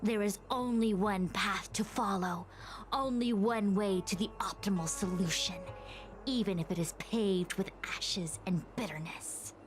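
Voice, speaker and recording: A woman voicing a solemn, commanding female master diviner in a video game, speaking gravely and formally. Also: medium pitch, measured pace, faint music behind, natural voice. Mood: grave, fatalistic. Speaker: voice actor in a game. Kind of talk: dramatic game cutscene acting.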